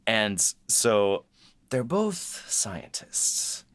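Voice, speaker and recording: A man's voice: fairly low pitch, very animated, very slow, a bit bright. A man co-hosting a casual behind-the-scenes writers' chat, talking informally and jokily, often acting out his own thoughts. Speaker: podcast host and audio drama writer.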